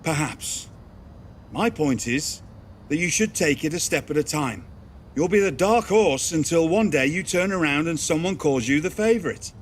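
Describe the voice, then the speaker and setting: An actor playing an encouraging mentor in a wrestling video game, speaking formally and in measured phrases. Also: male voice, on the high side, lively intonation, a little hiss or hum, close to an everyday voice. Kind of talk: video game cutscene acting.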